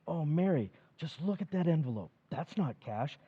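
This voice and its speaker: A man playing an anxious, fretful middle-aged husband in an old-time radio play, with a husky voice. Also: on the high side, lively intonation, normal speed, narrow, tinny audio. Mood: agitated.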